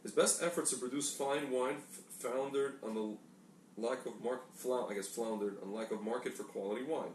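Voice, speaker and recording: A man's voice: medium pitch, moderate pace, darkish tone. A man co-hosting a casual talk show, reading aloud from documents and often stumbling and correcting himself. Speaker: podcast co-host. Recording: echoey room, distant mic, faint noise.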